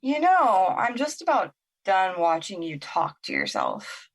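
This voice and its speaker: A woman's voice: medium pitch, huge pitch swings, measured pace, heavily acted. A woman playing a bitter, sarcastic young woman in a naturalistic audio drama, exasperated in manner. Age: young adult.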